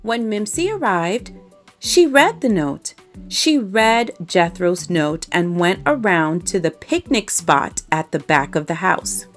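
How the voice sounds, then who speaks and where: A woman reading a children's storybook aloud in an expressive storytelling manner for young listeners. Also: fairly low pitch, takes it slowly, soft background music. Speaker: storybook reader.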